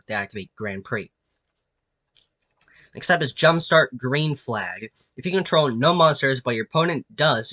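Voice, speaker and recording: A man with a nasal, cold-stuffed voice explains things in a loose, meandering way, full of fillers. His tone is bright and a little sharp. The sound is dry and close. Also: mid-range voice, dry recording. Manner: filler-heavy, many restarts and "like"s. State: sick with a cold. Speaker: YouTube creator presenting his own card designs. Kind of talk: casual rambling explanation.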